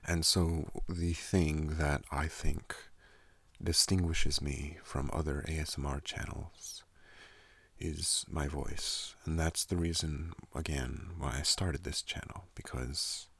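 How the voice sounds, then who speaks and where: A young man hosting an ASMR channel, speaking softly and calmly close to a studio microphone, slipping into whispers. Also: no room sound. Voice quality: deep gravelly soft voice. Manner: slow, calm, partly whispered. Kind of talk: soft-spoken ASMR talk.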